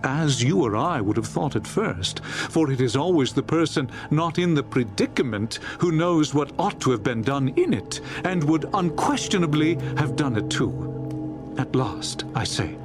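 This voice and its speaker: A man narrating a classic story as a dramatized audiobook, in a theatrical, expressive storytelling manner. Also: fairly high pitch. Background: music.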